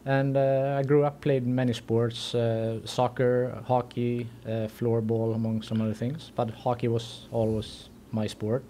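A man with a Swedish accent answering casually and haltingly as a podcast guest. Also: mid-range voice, level tone, mellow, slight background noise. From Sweden.

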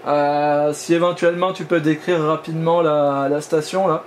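A man's voice: on the high side, flat delivery, normal speed. A man operating amateur radio, talking in a casual, informal way during a contact. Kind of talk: casual ham radio exchange. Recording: clear background noise.

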